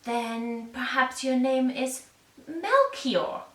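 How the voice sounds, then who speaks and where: A woman's voice: high voice, lively intonation, drawn-out words, fairly bright tone, full character voice. A woman voicing an anxious young storybook queen, speaking tentatively and drawing out each guess, in an echoey room. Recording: echoey room, distant microphone.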